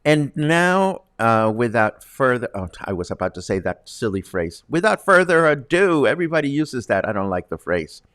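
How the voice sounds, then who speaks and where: A man's voice: medium pitch, sing-song, moderate pace, few pauses, fairly bright tone, no echo, clean studio sound. A man presenting as a show host in a chatty, conversational way with wry asides. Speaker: host presenting news and interviews.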